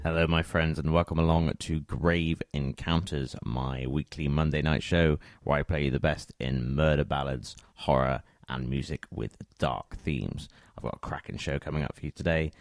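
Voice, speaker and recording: A man's voice: extremely low pitch, fairly even intonation, normal speed, hardly any pauses, no echo, studio quality. A man hosting a music radio show, introducing tracks in a chatty style.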